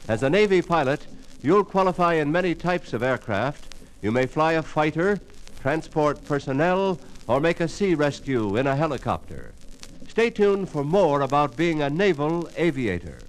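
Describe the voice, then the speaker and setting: A man's voice: fairly high pitch, sing-song, normal speed, low-quality recording. A man reading a scripted recruiting announcement in formal, persuasive 1950s radio style, on a vintage recording. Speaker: announcer of a navy recruiting spot.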